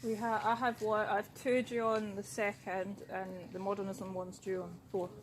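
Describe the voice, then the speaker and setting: A woman's voice: mid-range voice, level tone, moderate pace, slight background noise, poor audio. A young woman student interviewing her classmates in a casual, blunt way, swearing freely. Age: young adult. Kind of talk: casual chat.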